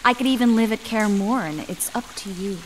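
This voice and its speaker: Fantasy game sorceress female actor: young, earnest, caring, measured, mid-range voice, slight background noise. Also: mid-range voice, lightly acted, rough recording. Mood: earnest, devoted. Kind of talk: video game acting.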